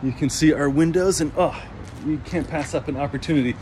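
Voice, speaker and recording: A man's voice: a little high, a little fast, slight background noise. A man in his thirties, a minister, chatting casually as he walks through an old church building, filmed on a phone held at arm's length. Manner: chatty, relaxed tour-guide talk while walking. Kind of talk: casual walking tour. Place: old stone church building, indoors and on a roof.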